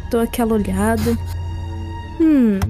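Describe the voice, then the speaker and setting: A woman's voice: a little high, slow. A woman with a Brazilian accent, chatting casually in character as a player in a tabletop game. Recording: music behind the voice.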